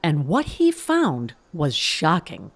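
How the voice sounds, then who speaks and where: A woman's voice: deep voice, huge pitch swings, slow. A woman delivering scripted narration for a mock documentary.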